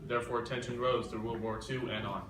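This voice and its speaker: A young man reads a scripted report in a mid-pitched voice. He is back from the microphone in an echoey room, with noise clearly audible. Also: level tone, fairly quick, a bit bright, soft background music. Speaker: news correspondent. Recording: echoey, noisy room, distant mic.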